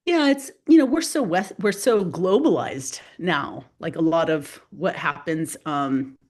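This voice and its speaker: A woman's voice: low-pitched, expressive, measured pace. A woman whose first language is Spanish, a guest author talking loosely in an interview with many fillers and restarts. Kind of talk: casual spoken interview.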